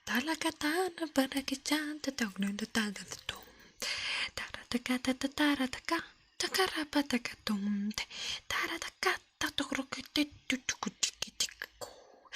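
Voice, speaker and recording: Breathy whispering woman doing ASMR. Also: high-pitched, expressive, fast talker, bright, forceful tone. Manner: murmured, repetitive nonsense syllables and trigger sounds. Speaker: ASMR livestreamer. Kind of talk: ASMR livestream with soft whispering.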